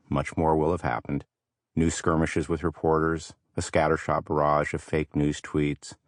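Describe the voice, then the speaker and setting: A man reading scripted audiobook narration in a measured, articulate way, close to a studio microphone. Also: a bass voice, no echo.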